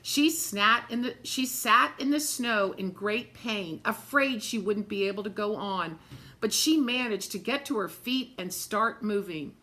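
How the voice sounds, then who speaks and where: Woman, children's story-time reader, bright clear-edged tone, slow pace, expressive and dramatic, chatty asides to listeners. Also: medium pitch. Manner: expressive, dramatic, addresses young listeners directly.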